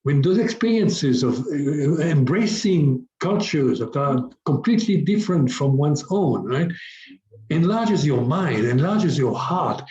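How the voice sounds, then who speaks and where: A man's voice: fairly high pitch, lively intonation, measured pace, warm tone. A middle-aged man from France, a business coach, talks through an interview with lots of restarts and fillers. His voice sounds roomy and echoey, and the audio is dull and lo-fi, like a remote call. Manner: hesitant, many restarts and fillers. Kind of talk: conversational interview.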